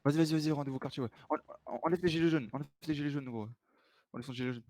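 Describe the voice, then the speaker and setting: A man's voice: a little high, rapid-fire, a little mellow, no room sound. A man improvising as an insistent protest spokesman in a role-play game, speaking informally and repeating himself.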